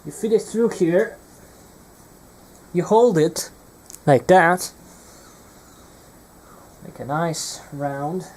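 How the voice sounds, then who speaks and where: A man's voice: on the high side, expressive, drawn-out words, long pauses, a little mellow, a little hiss or hum. A young man presenting an unscripted hands-on electronics demonstration, speaking hesitantly and searching for his words.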